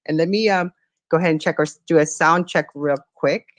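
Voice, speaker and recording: Chatty woman host with a lowish voice. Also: a little fast, dry recording. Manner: chatty, apologetic, with filler words. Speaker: podcast co-host. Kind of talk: hosting a live audio chat. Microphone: Android phone.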